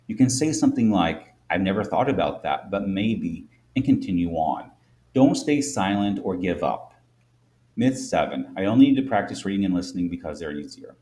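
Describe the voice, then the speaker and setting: Deep-voiced man, Midwest American accent, English teacher, clear and measured instructive read. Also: animated, dark tone. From United States. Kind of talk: scripted explainer lesson.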